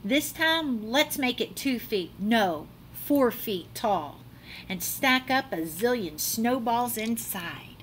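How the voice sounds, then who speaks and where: A woman's voice: a little high, a little hiss or hum. A middle-aged woman reads a children's picture book aloud like a teacher, dramatically and expressively, drawing out words. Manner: animated, dramatic delivery with drawn-out words. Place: small classroom, indoors.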